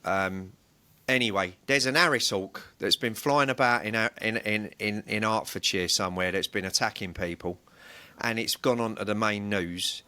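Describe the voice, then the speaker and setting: A man's voice: lowish voice, normal speed, bright, forceful tone, clean tone. A man co-hosting a podcast, bantering casually with a blunt, rambling way of talking and the odd swear word. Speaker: podcast co-host.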